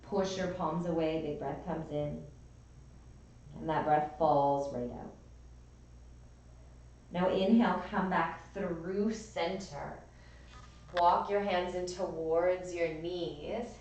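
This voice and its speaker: A woman with a raspy, strained voice gently cueing breath and movement as a yoga and pilates instructor. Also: fairly low pitch, slow pace, pauses often, a little hiss or hum. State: strained voice from overuse. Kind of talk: guided class instruction. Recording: echoey room, voice from far off.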